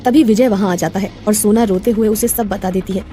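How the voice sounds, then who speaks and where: A woman's voice: medium pitch, fairly even intonation, brisk, warm tone, soft background music. A woman narrating a scripted moral story as a storyteller.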